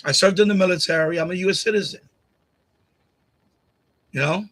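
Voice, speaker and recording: Man, live stream host, from Puerto Rico, bright edgy tone, repeats himself, talks into a USB condenser mic. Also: high-pitched, normal speed. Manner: blunt, argumentative, repeats himself, laughs often. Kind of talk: casual live stream chat answering commenters. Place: home streaming setup. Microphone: USB condenser mic on boom arm.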